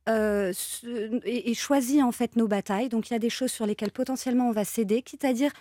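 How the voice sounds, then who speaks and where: A woman speaking as a guest on a radio talk show, explaining workplace matters in a measured way. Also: on the high side, steady tone, dark tone, no echo, clean studio sound.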